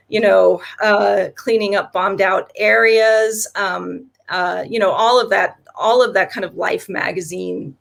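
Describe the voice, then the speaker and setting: A woman's voice: mid-range voice, gravelly. A woman whose first language is German, an author answering interview questions in a chatty way, with many fillers and restarts.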